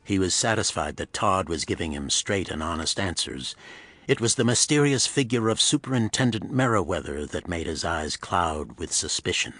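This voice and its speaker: Low-pitched male thriller narrator, dry sound. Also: big pitch swings, normal speed, a bit bright, no echo. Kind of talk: scripted pulp thriller narration.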